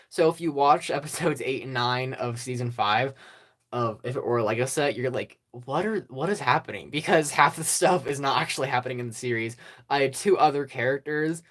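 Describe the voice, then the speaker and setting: A YouTube host in a casual, rambling channel update, hesitant and self-deprecating, with many ums and small laughs. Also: medium pitch. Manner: self-deprecating about own past work. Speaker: stop-motion channel host.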